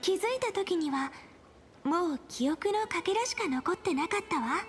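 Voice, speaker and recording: A bubbly, teasing young heroine speaks in a very high, sweet voice at a quick clip. Soft music plays under her lines in the game audio. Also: animated. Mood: playful. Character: playful bubbly young woman, gentle and teasing. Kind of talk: Japanese game voice acting.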